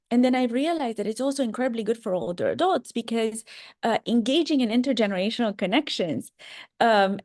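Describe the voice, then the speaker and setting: A woman's voice: on the high side, a little mellow, dry recording. Romanian accent lady, startup founder chatting w students, lots of ums, dry close sound. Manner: earnest, with many ums and restarts. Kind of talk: career talk to students.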